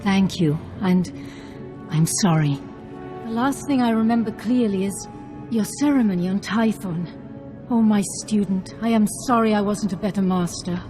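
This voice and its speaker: A woman playing a gentle, grateful Jedi master, speaking in an earnest, measured, formal way in a video game. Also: medium pitch, over music, natural voice. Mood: relieved, apologetic.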